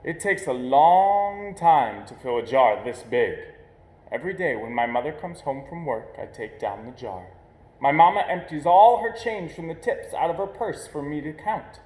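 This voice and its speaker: Higher-voiced man reading a storybook, roomy echo. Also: expressive, moderate pace, a little mellow. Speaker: reader of a children's picture book. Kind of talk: storybook read-aloud, expressive narration. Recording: echoey, roomy sound.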